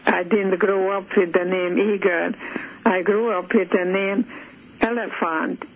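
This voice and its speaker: Older woman, hungarian is her first language, slow and gravelly, sounds like she's on a tinny phone line. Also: medium pitch, steady tone. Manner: slow, hesitant, with many fillers and restarts. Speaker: guest. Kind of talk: phone interview.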